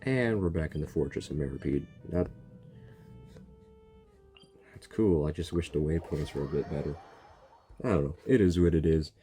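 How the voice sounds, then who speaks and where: A man's voice: a bass voice, normal speed, pauses often, dark tone, soft background music. A man giving casual, joking reaction commentary as he plays a game, with slangy exclamations. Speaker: gaming streamer.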